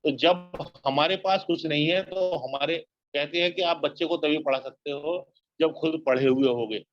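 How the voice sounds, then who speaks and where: A man's voice: a little high, normal speed. A man giving an earnest motivational talk on network marketing, exhorting listeners and repeating his points. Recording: dull lo-fi, dry sound.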